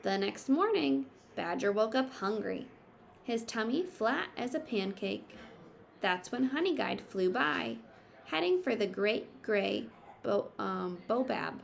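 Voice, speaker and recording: A woman reads a children's story at an unhurried pace, with some creak in her voice. She tells it expressively and pauses to explain things simply, as a teacher does. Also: fairly high pitch, lively intonation. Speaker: teacher reading aloud to pupils. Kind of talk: picture book read-aloud with teaching asides.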